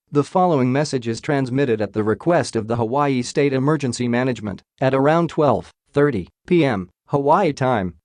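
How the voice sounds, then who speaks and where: A man's voice: mid-range voice, a little mellow, dry recording, natural voice. A man voicing a formal, dry emergency alert announcer. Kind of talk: formal scripted emergency broadcast message.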